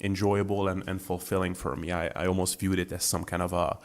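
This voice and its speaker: A man who is a guest on a podcast, talking about his own experience in a relaxed, chatty way with fillers and restarts. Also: sits low, brisk. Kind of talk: casual interview talk.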